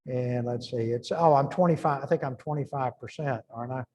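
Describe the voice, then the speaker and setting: A man's voice: medium pitch, brisk, darkish tone. A middle-aged man giving an informal engineering lecture, thinking aloud and restarting phrases as he works through numbers.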